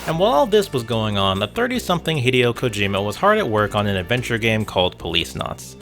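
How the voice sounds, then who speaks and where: A man's voice: mid-range voice, very animated, fast talker, fairly bright tone. A man narrating a scripted video essay in a dry, wry manner. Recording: music bed behind voice. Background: background music with guitar.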